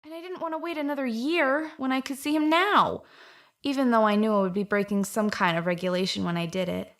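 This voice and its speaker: An actress as a young female stowaway with a fairly high voice. She is naive, earnest and eager, in the manner of science fiction audio drama. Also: brisk, fairly bright tone.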